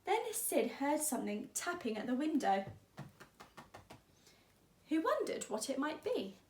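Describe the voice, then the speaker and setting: A woman teacher with a fairly high voice reads to children. She is expressive and deliberate, lingering on words at a slow pace. The room adds a clear echo. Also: a little hiss or hum. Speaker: teacher reading to pupils. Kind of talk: expressive children's story reading.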